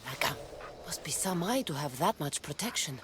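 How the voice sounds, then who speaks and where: A woman's voice: deep voice, expressive, a little fast, noisy background. An actress playing a tough young mercenary woman in a video game, blunt and sardonic, with a Greek accent. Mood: wary muttering. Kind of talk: video game cutscene acting.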